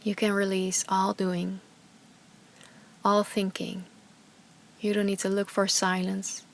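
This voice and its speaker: A woman yoga teacher leading a guided meditation with slow, soothing instructions, sometimes dropping to a soft whisper. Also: medium pitch, monotone.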